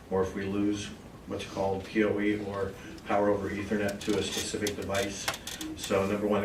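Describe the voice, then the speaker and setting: A man with a low voice answers questions formally at a public meeting. He explains in a deferential, official way. The recording is echoey, distant and clearly noisy. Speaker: school district staff member presenting a proposal. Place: indoor meeting room.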